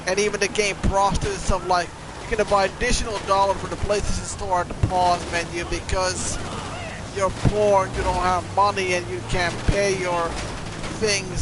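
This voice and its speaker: A man's voice: sits high, noisy background. A man from Finland, streaming a game and ranting casually with offhand swearing. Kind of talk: casual gameplay stream commentary. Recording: loud game sound under voice.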